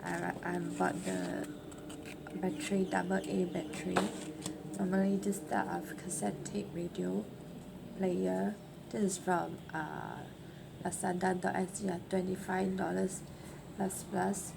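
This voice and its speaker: A woman in her late forties with a Singaporean accent, giving casual, halting unboxing commentary and ending phrases with "ah". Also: fairly low pitch, little pitch movement, creaky. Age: late 40s. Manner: halting, with trailing "ah" particles. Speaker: vlogger. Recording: noisy amateur home recording.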